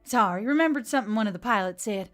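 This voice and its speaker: A woman's voice: medium pitch, expressive, fast, fairly bright tone, faint music behind, natural voice. A woman playing a flippant, sarcastic young female adventurer in a fantasy audiobook, blunt and teasing. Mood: amused, flippant. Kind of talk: scripted audiobook character acting.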